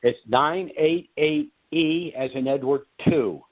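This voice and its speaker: A man's voice: a little high, slow. Man explaining on a muffled conference call. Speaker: host of a conference call answering questions. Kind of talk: explanatory question-and-answer talk. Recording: muffled, narrow-band conference call audio.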